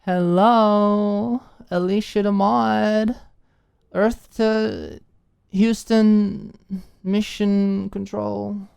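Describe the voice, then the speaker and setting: A woman voicing a drunk, bratty young college woman in an audiobook, slurring her words with giggly, whiny, drawn-out teasing. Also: medium pitch, steady tone, creaky, a little mellow, clean tone, pitched above the performer's own voice. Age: young adult. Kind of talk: audiobook character acting.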